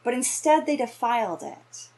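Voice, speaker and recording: A woman in her thirties or forties preaching an explanatory sermon in a measured way, pausing between phrases. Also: on the high side, sing-song, darkish tone, rough recording. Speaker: minister giving a reflection. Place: small indoor room with bookshelves.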